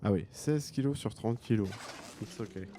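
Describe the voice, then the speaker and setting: A man's voice: fairly low pitch, moderate pace, mellow, slight background noise. A man with a French accent commenting a game he plays, joking and thinking aloud. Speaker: gaming streamer. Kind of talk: let's play commentary.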